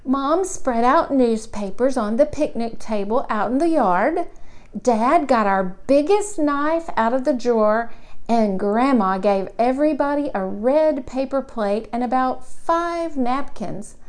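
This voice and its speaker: A woman plays an earnest little boy of four telling a storybook tale. The voice is very high and unhurried, with puzzled, halting repeats as he works through what he wants to say. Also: lively intonation. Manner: halting, stammering childlike repetitions. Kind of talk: children's picture book read-aloud in character.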